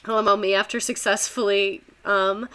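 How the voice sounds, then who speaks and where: A woman's voice: medium pitch, little pitch movement, moderate pace, sharp tone, smooth. A young woman teacher with a Southern US accent, giving a rambling, chatty history lesson on a livestream, full of fillers and laughs.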